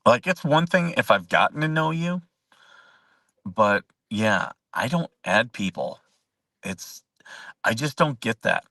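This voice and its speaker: A man's voice: medium pitch, lively intonation, slow pace, long pauses, sharp tone, no room sound, recorded from a distance. A man hosting a casual live chat show, rambling, chuckling often and answering viewer comments as he goes. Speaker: host.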